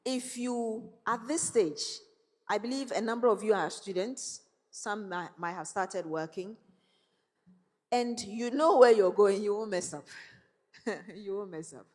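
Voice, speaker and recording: A woman's voice: medium pitch, unhurried, darkish tone. A woman giving a motivational talk to young people, telling stories with laughs and faith references. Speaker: guest speaker. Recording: hall reverb.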